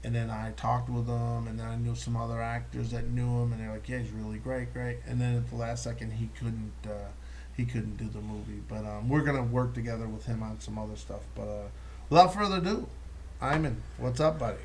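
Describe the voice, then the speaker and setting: A man hosting a casual comedy podcast, talking at an ordinary pitch and swearing freely. He jokes loosely with his guests and laughs easily, and a faint room noise sits behind his voice. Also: fairly even intonation, brisk, darkish tone, low-quality recording. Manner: loose, profane, laughing banter. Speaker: podcast host.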